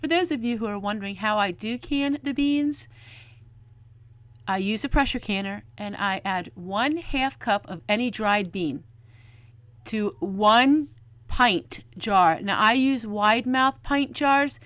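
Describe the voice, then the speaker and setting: A woman's voice: medium pitch, takes it slowly, a bit bright. A middle-aged woman giving a casual, folksy homestead how-to talk, chatty and explanatory. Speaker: home canning vlogger.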